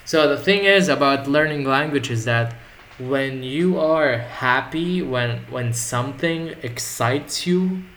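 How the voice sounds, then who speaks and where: Casual male guest, native Arabic speaker, mid-pitched, thinks aloud with lots of restarts, faint noise underneath. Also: slow, fairly bright tone. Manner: rambling, reflective, many restarts. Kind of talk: casual conversation.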